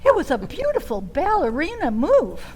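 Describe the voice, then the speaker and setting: A woman telling a first-person story in a theatrical way, with dramatic, deliberate delivery, pauses and little asides. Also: sits high, wildly swooping pitch, darkish tone.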